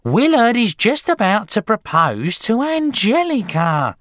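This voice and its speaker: A shrill, high-pitched housewife acted out by a man from a storybook, whiny and rude. The voice leaps about with bright, piercing irritation at being interrupted. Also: expressive, slow pace, creaky, clear, resonant tone, no room sound, clean studio sound, heavily acted. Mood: whining protest. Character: shrill, irritable middle-aged woman glued to television.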